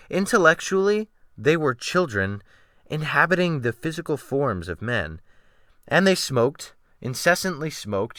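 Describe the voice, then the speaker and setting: A man's voice: mid-range voice, sharp tone, no echo, studio quality. A man reading a dramatic audiobook as a refined, bookish, self-pitying scholar, with fussy, emphatic literary phrasing. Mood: disdainful.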